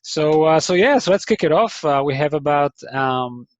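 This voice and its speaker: A man's voice: a little high, animated, moderate pace, no echo. Israeli man, lo-fi webinar audio. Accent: Israeli English with some New Zealand influence. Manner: hesitant, lots of ums and restarts, friendly. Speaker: startup mentor giving an online lecture. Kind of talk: online webinar talk. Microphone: video call mic.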